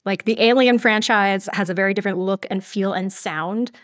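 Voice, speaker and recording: Woman, average pitch, casual interview conversation, podcast guest, dry close recording. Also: moderate pace, fairly bright tone, no room sound. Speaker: podcast guest, audio drama writer.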